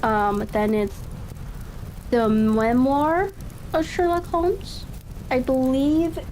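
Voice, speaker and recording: Higher-voiced woman in casual stream chat. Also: darkish tone, a little hiss or hum. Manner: unhurried, musing aloud to self. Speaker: streamer and host of a reading session.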